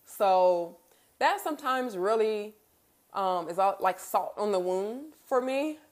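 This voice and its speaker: A woman's voice: medium pitch, lively intonation, slow pace. A woman of thirty talking candidly and casually in a reflective personal monologue, as a podcast host, in colloquial phrasing and often checking in with the listener.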